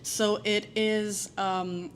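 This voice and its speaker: A woman's voice: medium pitch, flat delivery, extremely slow, a little hiss or hum. French-accented woman presenting at a conference. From New Brunswick, Canada. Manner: frequent "uh" fillers, friendly and self-deprecating. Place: conference room.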